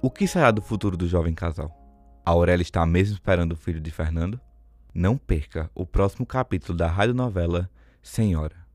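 A man's voice: low-pitched, fairly quick, a little mellow, no echo, soft background music. A Brazilian man narrating a radio soap opera in a scripted, dramatic storytelling style.

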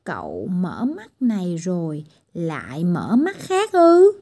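Woman doing a caring but bossy tiny storybook girl, a little higher, warm and breathy. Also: wildly swooping pitch, slow, exaggerated voice. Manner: scolding yet caring. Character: gentle, bossy blue-eyed tiny girl Mắt Xanh.

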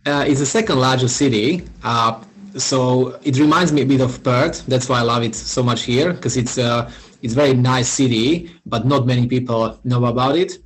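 A man from Slovakia with a Slovak accent, answering interview questions in a halting way with many pauses and restarts. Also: mid-range voice, slightly rough, rough recording. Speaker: podcast guest, founder.

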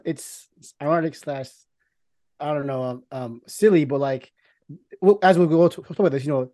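A man with a medium-pitched voice talks casually as a podcast co-host. He rambles toward his points in halting bursts, doubling words and swearing freely. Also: mellow. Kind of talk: casual film and game discussion.

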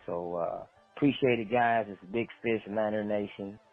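Male sports fan, low and rough-edged, casual opinions on a dull voicemail with music behind. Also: normal speed. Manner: repeats phrases for emphasis.

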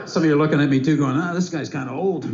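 A middle-aged man doing stand-up comedy, telling animated self-mocking stories to a live audience. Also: fairly high pitch, racing, a loud crowd. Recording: roomy live club recording, audience laughter, dull sound. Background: audience laughter.

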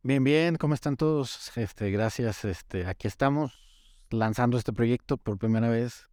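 A man co-hosting a podcast, talking in a relaxed, easygoing way. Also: mid-range voice, measured pace, no echo, clean studio sound. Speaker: podcast co-host. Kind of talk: casual chat.

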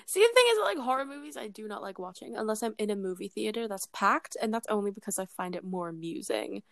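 A woman's voice: mid-range voice, lively intonation, quick pace, gravelly, a bit bright, no echo, studio quality. A young woman with a British accent, chatting casually as a podcast guest and laughing often. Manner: giggly, laughs often between phrases. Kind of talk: casual chat.

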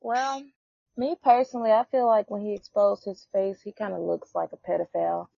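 Woman, twenties, podcast guest, gravelly fry, blunt and offhand, dull lo-fi audio. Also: mid-range voice, fairly even intonation, brisk, dark tone, no echo. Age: 20s. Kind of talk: casual podcast discussion. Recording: dull lo-fi sound.

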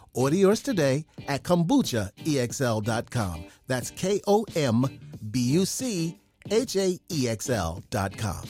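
A man reading scripted advertising copy as an announcer. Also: mid-range voice.

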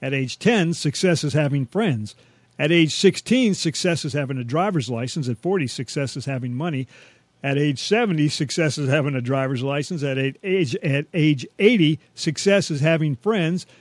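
Slightly high-voiced man, jokey radio co-host. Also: normal speed, no room sound. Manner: jokey, self-deprecating. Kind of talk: scripted comic radio banter.

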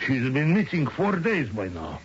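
An anxious, earnest male suitor in old-time radio drama. He speaks slowly and haltingly, meek and apologetic. The recording is muffled and hissy. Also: mid-range voice, huge pitch swings. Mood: upset, flustered.

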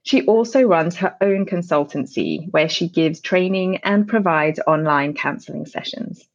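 A woman with a very low voice hosts an interview and takes the guest through the questions in a careful, measured way. The sound is dull and lo-fi. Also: lively intonation. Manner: measured, careful phrasing with some restarts. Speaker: podcast host.

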